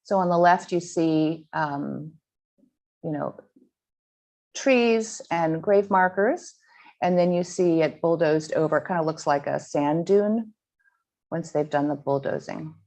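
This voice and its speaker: Woman guest speaker, slightly lower voice, earnest, fumbles and restarts while walking through slides. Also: a little mellow. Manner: earnest, halting with frequent ums and restarts. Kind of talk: online slide presentation to a school audience.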